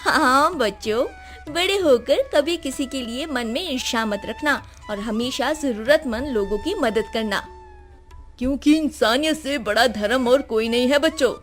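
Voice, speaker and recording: A kind family man from an animated moral story, acted out by a woman. He talks like a caring elder brother, reassuring and gently insistent. Music plays behind the voice. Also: high voice, measured pace. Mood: gently advising. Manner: warm, insistent, advising. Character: Suresh, kind reassuring married man, big-brotherly neighbour.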